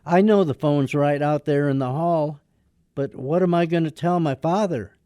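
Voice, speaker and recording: Lighter male pitch, mellow tone, actor as decent young man, wry, hesitant, close dry radio drama. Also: normal speed, no echo, close to an everyday voice. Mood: gloomy, wry. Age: young adult. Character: decent, gloomy young man under his father's thumb. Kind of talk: radio drama acting and narration.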